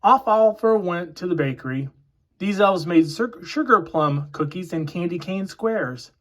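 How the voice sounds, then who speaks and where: Higher-voiced man reading a children's storybook. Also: lively intonation, moderate pace. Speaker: children's picture book reader. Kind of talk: read-aloud storybook narration for children.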